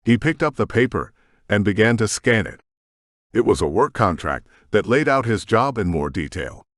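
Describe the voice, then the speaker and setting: Male narrator: low, lively pitch, measured, close dry mic. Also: no echo. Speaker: audiobook narrator. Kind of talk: scripted narration.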